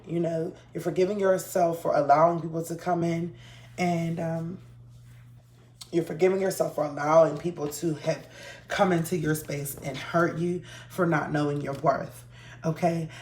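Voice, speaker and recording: A hoarse woman giving a relaxed, chatty tarot reading to her viewers, with frequent ums. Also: low-pitched, echoey room. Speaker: tarot reader.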